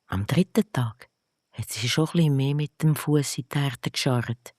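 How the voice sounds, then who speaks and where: A storyteller with a Swiss German accent narrating a scripted bedtime story for children in a gentle, deliberate manner. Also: medium pitch, dry recording, clean studio sound.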